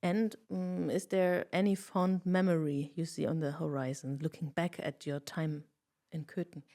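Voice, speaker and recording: Low-voiced woman host. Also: fairly even intonation, moderate pace, dark tone. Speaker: podcast host. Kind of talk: casual interview chat.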